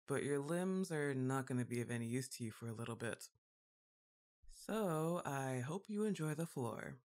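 A woman playing a goth giantess witch girlfriend, exasperated and fondly bossy, with a drawn-out teasing delivery in an intimate ASMR roleplay. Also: low-pitched, darkish tone. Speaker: audio roleplay performer.

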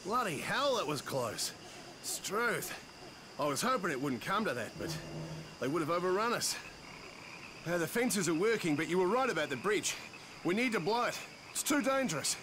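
An actor with an Australian accent voicing a blunt, urgent camp defender in a zombie video game. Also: male voice, high-pitched, big pitch swings, normal speed, pauses often. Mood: shaken, urgent. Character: gruff, harried male camp defender in a zombie outbreak. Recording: clear background noise.

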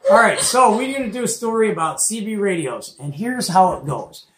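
A middle-aged American man with a Midwestern accent speaks in an echoey room. He tells a story in a casual, conversational way, animated and slangy, often checking in with rhetorical questions. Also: sits high, fairly quick, background noise. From Detroit area, United States. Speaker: host of a CB radio show-and-tell. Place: small cluttered indoor radio room. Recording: echoey room, mic at a distance.

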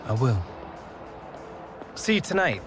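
A man playing a friendly, excitable young footballer in a video game, with a British accent and informal, slangy speech. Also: medium pitch, slow, music in the background. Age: young adult. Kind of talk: naturalistic video game dialogue.

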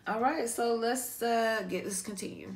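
A woman giving a casual, improvised card reading to an online audience, talking in an animated, slangy way and swearing freely. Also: a little high, normal speed. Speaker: tarot card reader, online host. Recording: music playing behind the voice. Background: pop and R&B songs playing.

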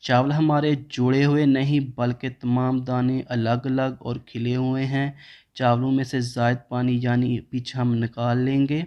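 A man's voice: mid-range voice, a little slow, muffled recording. A man, narrating a home cooking recipe step by step as the channel host. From Pakistan. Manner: steady step-by-step instructional tone.

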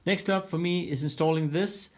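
A man's voice: fairly high pitch, steady tone, moderate pace. A man giving a frank, self-deprecating walkthrough of his home build, filming on a handheld phone in a home workshop room. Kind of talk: casual walkthrough vlog. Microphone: handheld phone camera.

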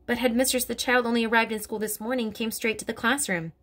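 A woman doing a gentle pleading young teacher. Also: on the high side, fairly even intonation, quick pace, voice pushed up. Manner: pleading, polite.

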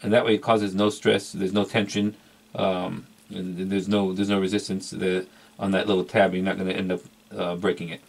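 A man's voice: sits low, level tone, a little fast, gravelly. A middle-aged man giving a casual, unscripted product review as a collector, rambling hesitantly and repeating words for emphasis. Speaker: vintage electronics collector and reviewer.

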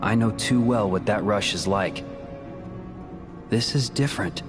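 A man playing a brooding, resolute young warrior in a video game cutscene, calm, measured and earnest. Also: on the low side, music in the background, natural voice. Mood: confident.

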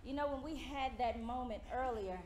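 A woman's voice: fairly high pitch, normal speed, a little mellow, close to an everyday voice. An actress playing an earnest, smitten woman in a live stage romantic comedy, speaking in a theatrical, insistent way. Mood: smitten, hesitant. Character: earnest smitten woman, pushy rival in love. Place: theater stage, picked up from a distance. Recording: echoey, very noisy theater with audience.

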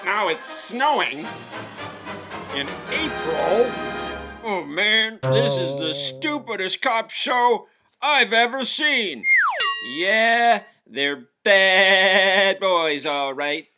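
Male performer as goofy stammering puppet policeman, lively melodic swings, unhurried, comedy puppet show, loud music underneath. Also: high-pitched, full character voice. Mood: dismayed. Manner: hesitant, stammering, exclamatory. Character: excitable, bumbling puppet cop in a comedy show. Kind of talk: comic puppet-show dialogue.

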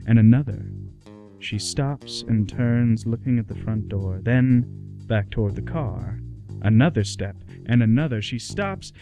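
A man giving a dramatic scripted narration with deliberate, suspenseful phrasing. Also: mid-range voice, creaky, darkish tone.

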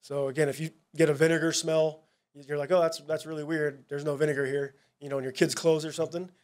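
A man, a police narcotics officer, giving a casual, halting presentation at a town hall, with many fillers and restarts. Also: on the high side, flat delivery, darkish tone.

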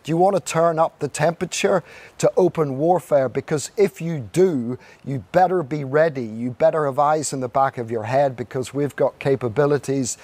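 Measured male TV news correspondent. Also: on the high side, a little mellow. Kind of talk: live news report. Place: outdoors at night in a city. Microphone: lapel.

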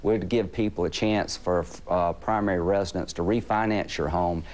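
A middle-aged man with a very low voice speaks from a remote studio. He lays out his points firmly and steadily, with a few fillers. It sounds like a TV interview link. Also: moderate pace. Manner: steady, firm, some uh fillers. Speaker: senator, remote guest. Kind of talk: political talking points.

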